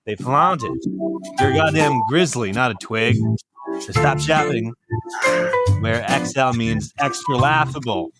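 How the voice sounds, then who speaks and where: A man's voice: mid-range voice, measured pace, long pauses, over loud music. A man co-hosting a podcast, delivering a crude, mock-insulting comedic ad read. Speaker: podcast co-host.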